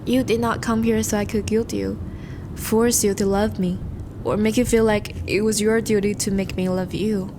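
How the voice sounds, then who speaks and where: A woman playing a charming, once-noble vampire in a romantic audio roleplay, speaking formally and intimately to the listener, with a soft, hesitant manner. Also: mid-range voice, fairly even intonation, normal speed, background noise, close to an everyday voice. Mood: earnest, apologetic. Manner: formal, courteous, hesitant, soft-spoken confessions.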